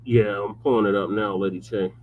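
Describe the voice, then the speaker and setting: Male show host with a lowish voice, keeps things moving with short, relaxed questions. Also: fairly quick, narrow, tinny audio, slight background noise. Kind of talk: casual live show chat.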